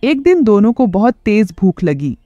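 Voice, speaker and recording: A narrator telling a children's moral story in a lively storytelling way. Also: medium pitch, normal speed, muffled recording, soft background music.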